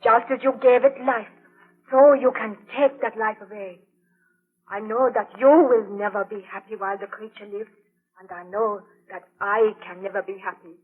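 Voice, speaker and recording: An anguished young sweetheart, acted by a woman in a thirties radio melodrama. Her voice is husky and imploring, full of fear. The sound is a muffled, thin old broadcast. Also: mid-range voice, steady tone, moderate pace, natural voice. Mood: pleading. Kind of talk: 1930s radio acting.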